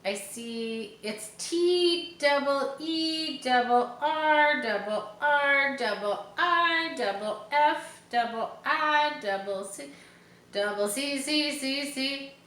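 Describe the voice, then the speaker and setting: A woman doing a high fussy stammering gander. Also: takes it slowly, a bit bright, roomy sound. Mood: flustered. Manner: stammers and repeats everything. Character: fussy repetitive male barnyard gander.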